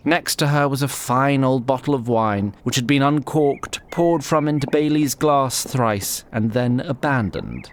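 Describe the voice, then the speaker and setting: A man narrating a scripted fantasy story in a measured, literary storytelling manner. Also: mid-range voice, a little hiss or hum.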